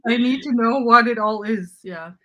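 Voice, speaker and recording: A woman's voice: fairly high pitch, normal speed, bright, forceful tone, muffled recording. A woman in a casual book chat, co-hosting and thinking aloud in an informal way, often trailing off mid-thought. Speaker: co-host of a book discussion. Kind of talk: casual fan chat about fantasy novels.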